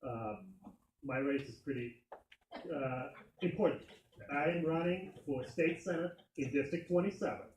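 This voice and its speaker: A man's voice: a little high, measured pace, darkish tone. A man from Finland introducing himself as a candidate at a community meeting, friendly and hesitant with many fillers. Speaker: state senate candidate. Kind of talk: campaign speech at community meeting. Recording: echoey meeting room.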